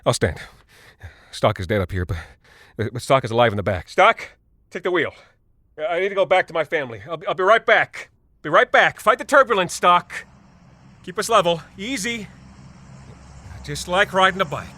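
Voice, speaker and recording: Haunted, unravelling space pilot. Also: male voice, sits high, expressive, sharp tone, no echo, clean studio sound, full character voice. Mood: panicked urgency, then pleading, muttering. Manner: halting, stammering, rambling, haunted. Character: guilt-ridden unravelling space pilot father, desperate and delusional. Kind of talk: dramatic monologue in horror audio fiction.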